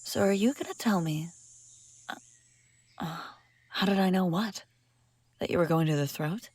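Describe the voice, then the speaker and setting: A woman's voice: fairly low pitch, moderate pace, husky, no echo. A woman playing a brusque, sardonic but secretly caring female bounty hunter in a scripted fantasy audio roleplay, speaking straight to the listener. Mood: dry, caring. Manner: sarcastic, teasing, often dropping to hushed urgency.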